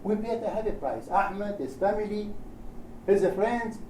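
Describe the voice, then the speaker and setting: A man with an Iraqi Arabic accent gives a formal speech from notes as a human rights panel speaker, phrasing deliberately in short chunks of non-native English. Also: sits high, lively intonation, darkish tone. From Iraq. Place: indoor event room at a panel discussion. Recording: roomy echo, distant mic, faint noise.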